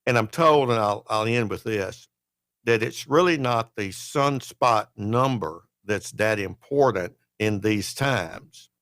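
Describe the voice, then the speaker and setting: A man giving measured, slightly wry explanations as a podcast panelist. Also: fairly low pitch, fairly bright tone, no echo. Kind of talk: panel discussion commentary.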